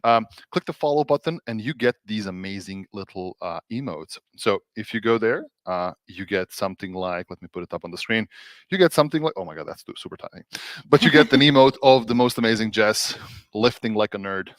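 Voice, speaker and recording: A man from Serbia hosting a casual tech livestream, animated and laughing often, with many restarts and fillers. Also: lowish voice, brisk, no echo. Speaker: host, developer advocate.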